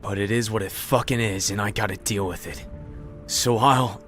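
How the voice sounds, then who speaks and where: A performer playing a bitter, guarded male survivor in an audio drama, terse and deadpan with a sardonic edge. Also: sits low, moderate pace, faint music behind. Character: bitter, guarded, foul-mouthed male survivor, former addict.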